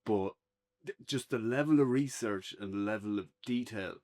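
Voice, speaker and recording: A man's voice: lowish voice, moderate pace, a little mellow. An Irish man co-hosting a casual, unscripted review podcast, talking in an informal, conversational way.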